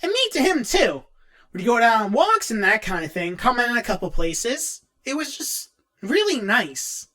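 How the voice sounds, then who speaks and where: An excitable, geeky young cartoon guy, acted out by a woman, with a high, bright voice that swings wildly up and down. He rambles awkwardly, hesitating and interrupting himself as he answers questions in character. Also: normal speed. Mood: hesitant, wistful. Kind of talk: in-character scripted fan Q&A.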